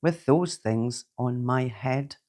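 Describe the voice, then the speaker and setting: A woman's voice: rumbling low, takes it slowly, mellow, pitched below the performer's own voice. A woman voicing a young, clumsy, earnest fairy girl in a children's storybook. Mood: worried. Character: young clumsy anxious female fairy who becomes delighted.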